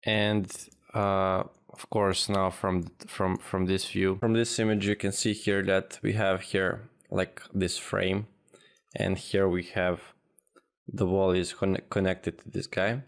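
A man teaching a software tutorial over a screen recording, thinking aloud with frequent pauses and restarts. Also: low-pitched, level tone, creaky, clear, resonant tone. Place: at a computer, voice-over of screen capture.

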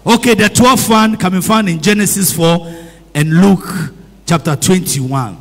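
A man's voice: sits high, unhurried. A man preaching a sermon, switching between English and Twi, calling out amens and putting questions to the congregation. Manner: repeats phrases and asks the congregation questions. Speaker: priest. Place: church hall. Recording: long hall reverb.

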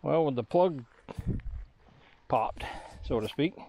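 Gravelly-voiced man muttering outdoors. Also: mid-range voice, animated, takes it slowly, highs cut off. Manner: muttering to himself in short remarks. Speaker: metal detecting vlogger. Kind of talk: casual running commentary while digging. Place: outdoors on grassy farm field. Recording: outdoor action camera with background noise.

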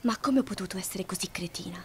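A woman in her early twenties dubbing a passionate, sharp-tongued young woman in an animated film, with an emphatic, theatrical delivery. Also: mid-range voice, fast, heavy background noise, lightly acted. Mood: bitter, scornful. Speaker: fan dub voice actress.

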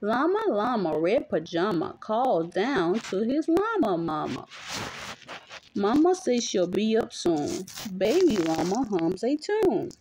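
A woman's voice: medium pitch, sing-song, slow pace, a little mellow, slight background noise, rough recording. A mother reading a picture book aloud to her young child in a playful, sing-song way, chatting with the child as she goes. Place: small dim bedroom at home.

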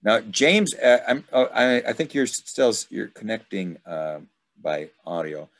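Man, very low voice, middle-aged, halting and rambling, group host, informal discussion, video call. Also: sing-song, fairly bright tone. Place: indoors at home, video call. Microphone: video call microphone.

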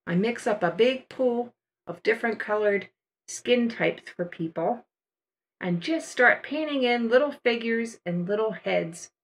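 An older woman narrating a watercolor painting demonstration as a voice-over, explaining each step in a relaxed, unhurried way. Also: fairly high pitch. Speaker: watercolor art teacher.

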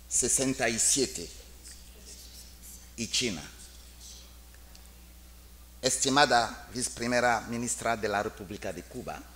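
A man's voice: fairly low pitch, a bit bright, slight background noise. A middle-aged man with a French accent, reading a formal speech carefully from a podium in a large conference hall. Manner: slow, careful delivery in a second language. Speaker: international organization official. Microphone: podium microphone.

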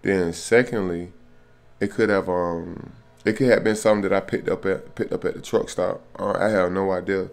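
Low-voiced American man, slangy casual vlogger. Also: fairly even intonation, normal speed, creaky. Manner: slangy, repeats "man", "bro" and "you know what I'm saying". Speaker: truck driver vlogger. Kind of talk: casual vlog talk to camera. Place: small furnished hotel room, indoors.

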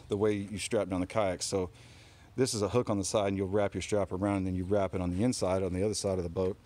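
A man's voice: on the low side, a little mellow. A man walking around his kayak trailer outdoors and explaining it casually, with lots of ums and restarts, filmed on a handheld phone. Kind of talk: casual walkaround show-and-tell. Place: outdoors on a driveway.

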